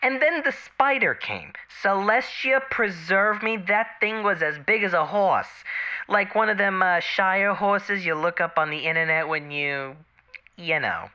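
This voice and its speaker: A woman voicing an excitable mare receptionist who rambles casually through a dramatic eyewitness account in a fan audio drama. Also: medium pitch, a little slow. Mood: awed, excited. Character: excitable peach-colored pony mare receptionist, chatty eyewitness. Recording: dull lo-fi radio-like sound.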